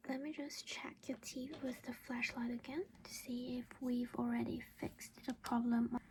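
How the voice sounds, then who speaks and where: A woman whispering in a gentle, reassuring, halting way, in an ASMR roleplay as a caring vampire mother. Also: on the high side, level tone, background noise. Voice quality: breathy whisper. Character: caring vampire mother doing a dental checkup.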